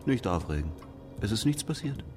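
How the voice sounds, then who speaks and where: Sly sardonic showman in a radio play, lowish voice, really animated, needling. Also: over music. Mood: calm, teasing. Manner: needling, theatrical, dramatic pauses. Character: shrewd, sardonic middle-aged escape artist, needling skeptic. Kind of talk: German radio drama acting.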